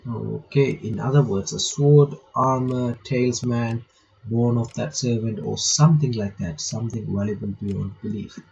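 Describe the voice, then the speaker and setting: A man doing a visual novel playthrough, reading the text aloud with casual asides, a bit halting and stumbling over words. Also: medium pitch, steady tone, gravelly, a little mellow. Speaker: reaction channel host. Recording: dull lo-fi mic, faint background noise.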